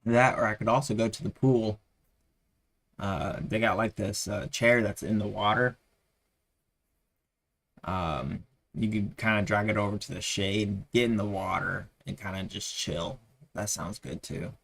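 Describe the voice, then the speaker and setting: A man's voice: on the low side, fairly even intonation, a little slow, vocal fry, pauses often, fairly bright tone. A tired man losing his voice, rambling with many fillers as he chats casually on a live trading stream.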